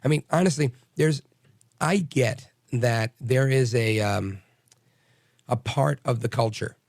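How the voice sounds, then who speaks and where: Hoarse, raspy male talk radio host. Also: medium pitch, takes it slowly, darkish tone, no echo, studio quality. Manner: blunt, combative, rambling with restarts. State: hoarse from bronchitis. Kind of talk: opinionated talk radio monologue.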